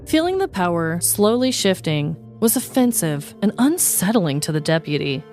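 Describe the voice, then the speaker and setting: Low-pitched woman narrating comic true crime. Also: sing-song, normal speed, darkish tone, faint music behind. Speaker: podcast host and storyteller.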